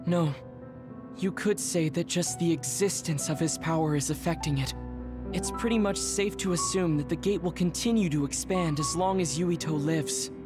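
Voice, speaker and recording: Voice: mid-range voice, moderate pace, natural voice. An actor playing a frank but caring young analyst in a video game, explaining things carefully. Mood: composed. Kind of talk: video game cutscene acting. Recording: clear music behind the voice.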